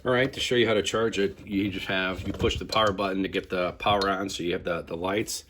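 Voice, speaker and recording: A man's voice: deep voice, fairly even intonation, brisk, a bit bright, a little hiss or hum. A man casually demonstrating and reviewing a product, explaining it step by step in an informal way.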